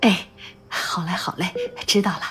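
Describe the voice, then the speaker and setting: A woman's voice: fairly low pitch, steady tone, moderate pace, close to an everyday voice. A woman voicing a kindly, talkative middle-aged caregiver in a radio drama, fussing over a patient. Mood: weary. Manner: fussy, warm-hearted chatter. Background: music.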